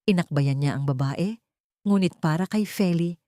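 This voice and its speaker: A woman's voice: lowish voice, moderate pace, dark tone, no room sound, studio quality. A woman reading a scripted dramatic love story as its narrator.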